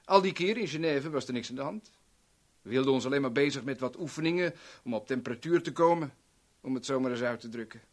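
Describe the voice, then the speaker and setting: An actor playing a sadistic, taunting villain in a 1970s radio drama, theatrical and sneering as he savours his words. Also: mid-range voice. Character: sadistic, taunting male villain gloating as a blackmailer.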